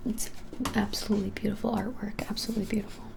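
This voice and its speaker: A woman in her twenties whispering softly and gently in an unhurried ASMR shop roleplay. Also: medium pitch, level tone, background noise. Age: 20s. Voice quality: soft, breathy whisper. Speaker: ASMR creator playing a witch shop keeper. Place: small indoor room, plain wall.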